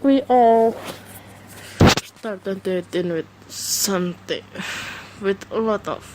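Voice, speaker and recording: A woman's voice: mid-range voice, takes it slowly, very noisy. A woman whose first language is not English, hosting her first solo podcast, talking hesitantly with many fillers and restarts, recorded alone on a phone. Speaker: podcast host. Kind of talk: casual solo podcast monologue. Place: alone at home.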